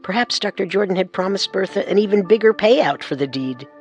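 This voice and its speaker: A man narrating a true-crime radio story in an earnest, assertive way over soft music. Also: high-pitched, expressive, brisk. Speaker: narrator and co-host.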